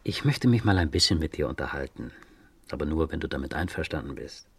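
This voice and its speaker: Calm male inspector, extremely deep, husky voice, radio crime drama. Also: fast, no room sound, heavily acted. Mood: gentle. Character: calm male police inspector questioning a child.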